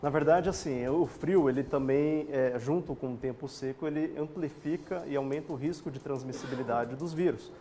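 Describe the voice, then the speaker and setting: A man with a Brazilian Portuguese accent, a doctor guest on a TV health show, explaining things calmly in plain lay terms in a studio. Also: medium pitch, moderate pace, few pauses, slight background noise.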